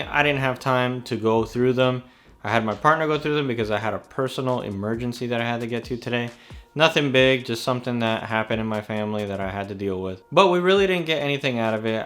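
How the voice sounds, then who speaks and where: A man hosting a vlog, explaining things casually and speaking directly to his viewers. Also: mid-range voice. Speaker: vlog host, real estate wholesaler. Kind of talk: explanatory vlog talk to camera. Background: background music.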